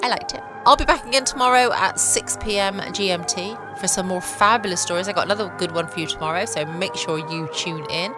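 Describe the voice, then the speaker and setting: A woman's voice: mid-range voice, fairly quick, hardly any pauses. A woman chatting casually as host of a bedtime podcast. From United Kingdom. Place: at home. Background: music.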